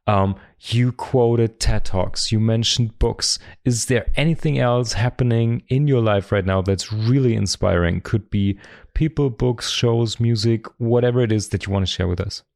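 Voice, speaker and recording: A man's voice: mid-range voice, moderate pace, gravelly, darkish tone, dry recording. Guy hosting a podcast, upbeat, dry sound, asking wrap-up questions. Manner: upbeat, wrapping up with summaries and questions. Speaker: podcast host. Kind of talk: casual interview chat. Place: podcast studio.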